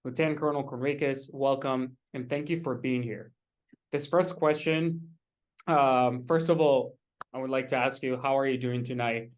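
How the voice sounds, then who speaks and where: A man's voice: mid-range voice, steady tone, normal speed. A young man hosting an event, formally reading an introduction and audience questions. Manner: formal, reads from notes. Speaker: event host.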